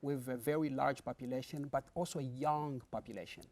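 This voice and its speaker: A man's voice: medium pitch, fairly even intonation, a little fast, a little mellow. A middle-aged man from the Democratic Republic of the Congo, a pastor, answering studio interview questions in an earnest, explanatory way, with many fillers and restarts.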